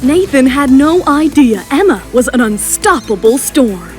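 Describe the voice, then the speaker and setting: Lady narrating an audio drama, high voice, scripted dramatic read, soft music under. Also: big pitch swings, measured pace. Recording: music behind the voice.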